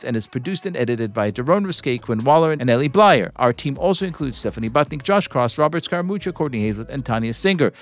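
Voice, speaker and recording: A man hosting a podcast, narrating and interviewing in an emphatic, theatrical way. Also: a little high. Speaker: podcast host.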